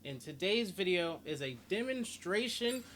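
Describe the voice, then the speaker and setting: A man with a slightly high voice talks through a game guide in a casual, rambling way. He often restates his points as he goes. There is some noise behind him on the recording. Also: sing-song, measured pace, a bit bright. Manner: rambling, repeats and rephrases himself. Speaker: gaming video host. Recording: clearly noisy home recording.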